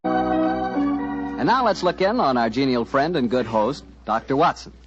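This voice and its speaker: A man's voice: medium pitch, very animated, measured pace, over loud music. A man with an American accent announcing in 1940s radio style, with a folksy salesman's delivery. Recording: muffled old 1940s radio recording.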